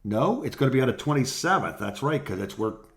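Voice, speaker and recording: A middle-aged man talks as a co-host on a casual show. His voice sits at an ordinary pitch. He reminisces in loose, rambling stories, as in an informal conversation. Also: sing-song, a little fast, gravelly. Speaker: podcast co-host, former radio host.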